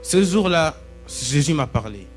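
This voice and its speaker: A man's voice: fairly high pitch, animated, unhurried. Young male preacher over background music. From Belgium. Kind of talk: live sermon with pauses for interpretation. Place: church, speaking to a congregation. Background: musical instrument playing.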